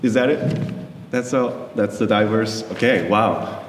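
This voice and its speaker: A man's voice: lowish voice, a little slow, slight background noise. A man in his thirties from South Korea, a native Korean speaker, giving a talk to an audience in English. He hesitates often and restarts his sentences, and he is friendly with the audience. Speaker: guest lecturer. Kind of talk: presentation to an audience. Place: lecture hall. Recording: echoey hall from far off.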